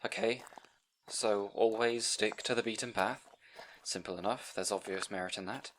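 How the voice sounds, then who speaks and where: A man's voice: lowish voice, normal speed, a bit bright, slight background noise, close to an everyday voice, low-quality recording. A man playing a timid, bookish young scribe in an audio roleplay, speaking to the listener in an anxious, hesitant, stammering way. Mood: anxious, earnest.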